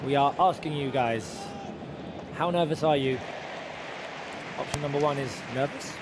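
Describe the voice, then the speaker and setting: A raspy male football host with a fairly high voice talks straight to his live audience, repeating himself as he goes. He mixes match commentary with chat. Loud background noise surrounds him. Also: moderate pace. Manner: animated, repeats himself, addresses viewers directly.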